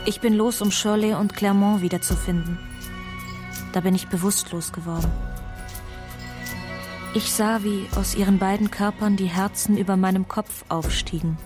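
Actress as grieving young narrator, measured lyrical fragments, poetic radio play, mid voice, music under. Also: female voice, medium pitch, natural voice. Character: grieving young narrator recounting a father's collapse.

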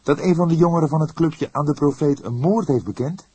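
A man's voice: on the high side, a little fast, dark tone, slightly rough, pitched above the performer's own voice. A man playing a young police detective in an audiobook, an inquisitive and easily indignant colleague who speaks emphatically. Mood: surprised, questioning. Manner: emphatic, questioning. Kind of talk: audiobook character acting.

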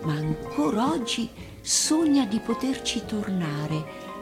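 A woman, an actress, reading a children's fairy tale as expressive scripted narration. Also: a little high, slow pace, music in the background.

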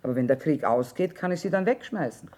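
An actress playing a shrewd, hard-bitten middle-aged war trader in a radio drama, earthy and plain-spoken. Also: female voice, sits low, animated, fast talker, a little mellow. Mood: calculating. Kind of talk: stage-style German radio drama acting.

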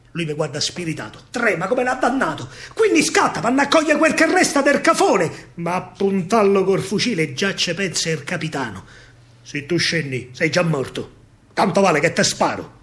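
A man's voice: on the high side, a bit bright. A man with a Roman accent performing a theatrical verse monologue as a wartime soldier, declamatory, rhythmic and intensely emotive. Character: wartime Italian soldier recounting a battle. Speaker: stage actor and storyteller. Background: music.

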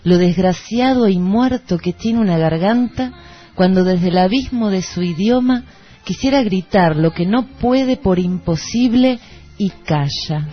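A woman's voice: medium pitch, measured pace. Lo-fi radio voice of an Argentine woman. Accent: Argentine Rioplatense. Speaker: radio co-host. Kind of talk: conversational literary radio talk. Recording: dull lo-fi radio recording. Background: background music.

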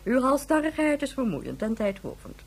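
An imperious female Excellency in a radio play. She is dismissive and formal in a high, husky voice that swings wildly in pitch with her impatience. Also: big pitch swings, moderate pace, exaggerated voice. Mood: haughty irritation. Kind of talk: Dutch science fiction radio drama acting.

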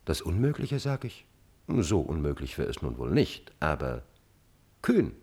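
Scheming chamberlain for classical radio drama: deep, husky, lively inflection, servile yet cold and insinuating. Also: male voice, measured pace, heavily acted. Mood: goading, sly. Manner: insinuating, theatrical. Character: scheming male court chamberlain, servile, cunning, cold-bloodedly ambitious. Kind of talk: German classical stage drama acting.

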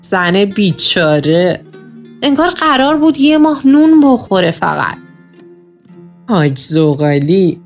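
A woman playing a gossipy, excitable, talkative neighbourhood woman in a nostalgic Iranian radio drama. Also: mid-range voice, faint music behind. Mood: gossiping. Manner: talkative, dramatic exclamations, colloquial.

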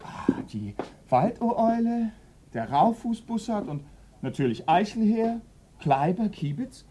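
An actor playing a polite, hesitant, earnest police detective's assistant in a German radio drama, often repeating himself. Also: male voice, high-pitched, very animated, unhurried, mellow, a little hiss or hum. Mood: fascinated.

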